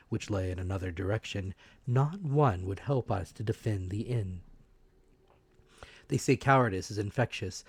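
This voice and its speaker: Low-voiced man narrating, dry close sound. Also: a little mellow, no room sound. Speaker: audiobook narrator. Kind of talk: dramatic scripted narration of a classic adventure story.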